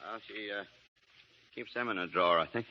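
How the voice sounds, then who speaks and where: A man's voice: on the low side, normal speed, natural voice. An actor playing a cowardly, jittery frontier henchman in a 1930s radio drama, stammering nervously. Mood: nervous, evasive. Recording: muffled 1930s radio broadcast.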